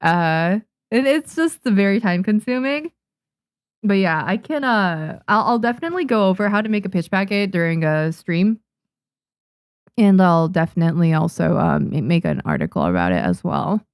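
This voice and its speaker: A woman's voice: medium pitch, big pitch swings, normal speed, clear, resonant tone, no echo, clean studio sound. A young woman, a native Japanese speaker, chatting casually on a live stream, reading and answering viewers' questions with many restarts and fillers. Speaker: streamer and manga artist.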